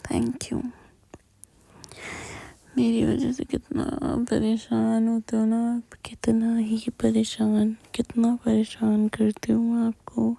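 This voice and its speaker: A woman whispering an intimate girlfriend roleplay, speaking softly and directly to the listener up close to the mic. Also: fairly high pitch, completely flat delivery, slow pace, creaky, slightly rough, no echo.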